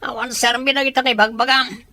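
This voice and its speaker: Blunt, stubborn follower, played by a woman, tense and sharp, close dry sound, amateur drama. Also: a little high, fairly even intonation, brisk, dry recording. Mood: dismissive, stubborn.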